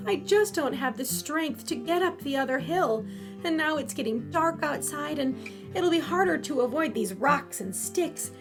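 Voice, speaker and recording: Storybook pumpkin, small young male played by a woman: high, very animated, earnest, plaintive, effortful. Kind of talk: children's storytelling voice acting.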